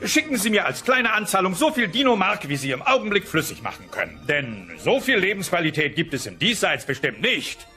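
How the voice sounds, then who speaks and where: A man's voice: high-pitched, sing-song, a little fast, few pauses, sharp tone, faint music behind, heavily acted. A man playing a greedy, unctuous dinosaur TV salesman in a sitcom audio play. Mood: slick, selling. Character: slick, greedy dinosaur televangelist-style TV salesman. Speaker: TV presenter.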